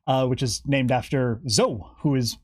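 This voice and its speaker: A man's voice: mid-range voice, measured pace, a little mellow, slightly rough, no echo, clean studio sound. A man chatting unscripted as a guest explaining game lore, with a wry, playful manner.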